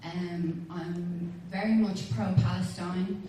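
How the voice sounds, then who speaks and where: A woman in her thirties with a Dublin accent speaks to a hall in a very echoey, distant recording. Her voice is slightly low and mellow. She makes a plain, halting campaign speech. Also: steady tone. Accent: Dublin Irish. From Dublin, Ireland. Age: 30s. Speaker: independent election candidate. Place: large community hall with audience. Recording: echoey hall, distant mic, faint noise.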